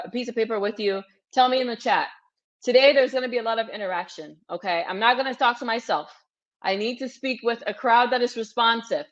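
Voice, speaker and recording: Upbeat woman physician in her thirties hosting a webinar, direct and encouraging, slightly dull lo-fi audio. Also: on the high side. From Cameroon. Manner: upbeat, direct, repeats cues like "okay?" to the audience. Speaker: physician hosting a webinar for international medical graduates. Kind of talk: energetic live webinar coaching.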